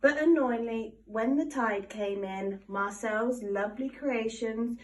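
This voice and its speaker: A woman reads a children's picture book aloud with animated, expressive storytelling. Her voice sits on the higher side. She is recorded from a little distance from the microphone. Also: takes it slowly. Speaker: storybook reader.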